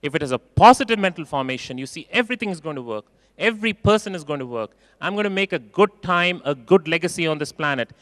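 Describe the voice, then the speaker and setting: A man giving a keynote talk in a large conference hall, expressive and acting out quoted voices, repeating phrases for emphasis. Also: fairly high pitch, fast talker, studio quality. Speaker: keynote speaker.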